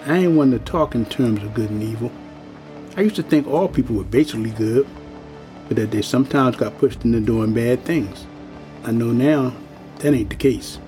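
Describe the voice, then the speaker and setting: A man's voice: medium pitch, normal speed, warm tone, faint music behind, lightly acted, rough recording. An actor playing a world-weary old working-class man in an audio drama, speaking in a folksy, plain-spoken, ominous way. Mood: ominous warning.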